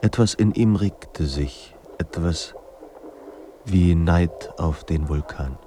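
An actor playing a sombre literary narrator in a radio drama, speaking in a measured, grave manner. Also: male voice, low-pitched, background noise. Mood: melancholy. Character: sombre literary narrator of a troubled writer's life.

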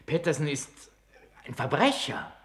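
An actor in a German-language 1960s radio drama playing a pompous, fretful government minister who protests formally. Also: male voice, a little high, big pitch swings, unhurried, fairly bright tone, slightly rough, full character voice. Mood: indignant, worried. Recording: noisy old radio drama recording.

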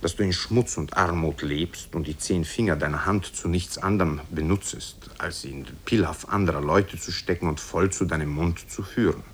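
A man's voice: low-pitched, normal speed, hardly any pauses, a little hiss or hum, lightly acted. Man voicing indignant, scolding townsfolk.

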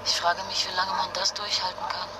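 A woman's voice: on the low side, little pitch movement, brisk, heavy background noise, natural voice. An actress playing a distraught young mother fleeing disaster with a sick child, speaking with emotional, urgent intimacy in a naturalistic radio drama. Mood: subdued, tender.

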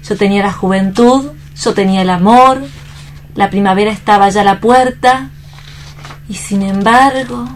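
A woman's voice: mid-range voice, moderate pace, a little hiss or hum, natural voice. An actress playing a distraught but resolute young woman in a radio drama, speaking earnestly and solemnly. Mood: sorrowful. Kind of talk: dramatic staged radio reading.

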